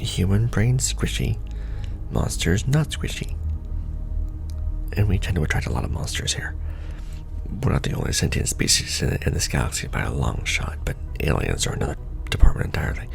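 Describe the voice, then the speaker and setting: A man playing a jaded secret-society official in an ASMR roleplay, briefing a recruit in a soft, deadpan, rambling, sardonic murmur. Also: sits low, moderate pace, vocal fry, full character voice. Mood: patient, explaining. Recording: background music under the voice. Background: background music.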